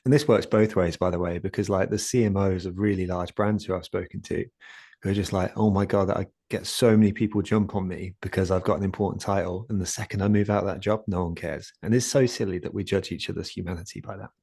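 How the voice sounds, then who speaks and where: A man speaks quietly over a video call, his low, warm voice hesitant and full of restarts and fillers. The sound is close and dry. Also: steady tone, quick pace, dry recording. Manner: soft-spoken, hesitant, lots of fillers and restarts. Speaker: guest speaker, community founder. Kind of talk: conversational talk in an online session.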